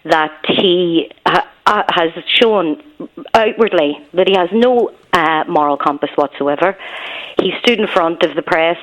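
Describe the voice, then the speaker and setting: A woman's voice: lowish voice, low-quality recording. Earnest Belfast woman calling in. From Northern Ireland. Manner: earnest, hesitant with many ums. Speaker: caller. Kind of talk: phone-in call.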